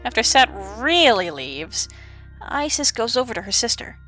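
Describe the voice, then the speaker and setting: A woman narrator telling an audio-drama story in an expressive, suspenseful storytelling manner. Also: fairly high pitch, moderate pace, low-quality recording. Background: music.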